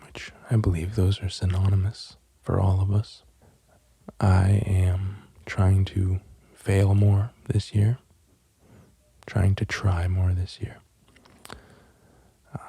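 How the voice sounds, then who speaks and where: Very hushed, dark-voiced man speaking slowly. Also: low-pitched, no room sound. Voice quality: soft, breathy, often near a whisper. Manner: gentle, unhurried, halting with long pauses. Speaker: host of an ASMR channel. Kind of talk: soft-spoken ASMR, musing and poem reading. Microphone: close microphone.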